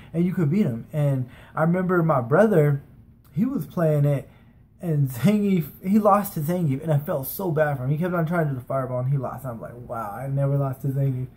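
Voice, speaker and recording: A man's voice: a little high, dark tone. An American man in his thirties telling personal stories in a casual, informal, rambling way, like a vlogger talking to a camera in a bedroom. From United States, from San Francisco and Las Vegas. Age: 30s. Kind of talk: casual chat, anecdotal storytelling. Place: small bedroom, indoors. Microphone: webcam or camera at desk distance.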